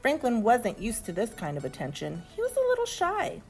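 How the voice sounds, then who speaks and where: Lively, higher-voiced woman reading a children's story. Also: soft background music. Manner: expressive, deliberate storytelling. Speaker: storybook reader. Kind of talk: animated children's story narration.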